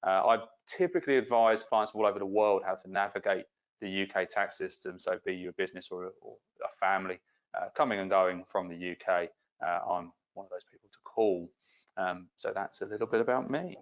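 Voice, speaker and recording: A man's voice: low-pitched, fairly even intonation, fairly quick, dull audio. A man presenting at a business webinar in an easygoing way, with many "uh"s. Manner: easygoing, with many uh fillers and restarts. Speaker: tax partner presenting.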